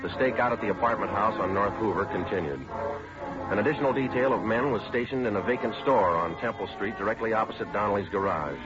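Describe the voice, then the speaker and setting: A man acting a terse, level-headed police detective in a 1950s radio drama, clipped, matter-of-fact and deadpan. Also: lowish voice, fast talker, over music, close to an everyday voice. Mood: dry, factual. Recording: dull old radio recording, background noise.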